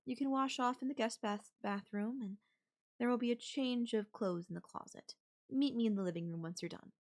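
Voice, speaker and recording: A woman's voice: a little high, normal speed, close to an everyday voice. A woman playing a controlling, wealthy CEO in an audio roleplay, speaking in an imperious, formal and coldly condescending manner. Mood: disdainful, commanding. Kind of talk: ASMR audio roleplay acting.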